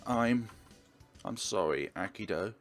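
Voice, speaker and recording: A man acts out a gentle, wounded young woman in a visual novel. The delivery is soft, halting and sorrowful in a lowish register, with faint music underneath. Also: animated.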